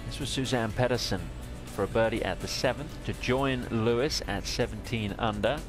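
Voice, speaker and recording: A man calling a women's golf broadcast as play-by-play announcer, with a smooth, measured delivery. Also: mid-range voice, over music. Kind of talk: TV golf commentary.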